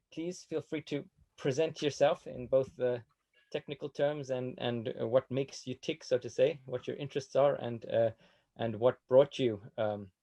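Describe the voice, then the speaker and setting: Man hosting an open day, halting welcome speech, mid-pitched voice. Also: mellow. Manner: hesitant, many fillers. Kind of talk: formal welcome address.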